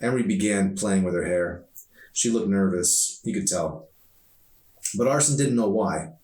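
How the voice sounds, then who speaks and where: Low-voiced man narrating a novel, echoey. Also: normal speed, darkish tone. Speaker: author reading own novel. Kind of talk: scripted audiobook narration. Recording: roomy echo, distant microphone.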